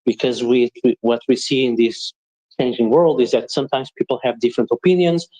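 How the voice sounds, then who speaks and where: A man's voice: mid-range voice, fairly even intonation, a little fast, mellow, rough recording. A man from Portugal giving his opinions as a panel guest in an emphatic, assertive way.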